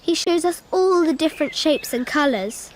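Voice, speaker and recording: High-voiced, earnest little girl. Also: lively intonation, background noise. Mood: earnest wonder. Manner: childlike, earnest, halting over long words. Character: cheerful, literal-minded six-year-old girl narrating. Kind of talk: radio drama narration.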